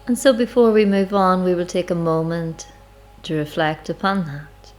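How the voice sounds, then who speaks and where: A woman guiding a spiritual meditation in an unhurried, reflective, measured way, with long pauses between phrases. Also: lowish voice, fairly even intonation, a little mellow, low-quality recording. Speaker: guided meditation leader. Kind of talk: slow spiritual guided meditation narration. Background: music.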